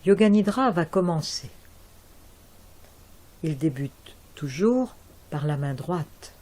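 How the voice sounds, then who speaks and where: A woman leading a guided yoga nidra relaxation as an instructor, giving calm, measured instructions with deliberate pauses. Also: sits low.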